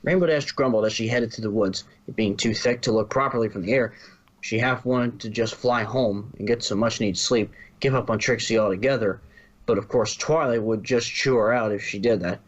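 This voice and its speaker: A man's voice: fairly low pitch, moderate pace, dull audio. A man reading scripted story narration, now and then stumbling and rereading a phrase.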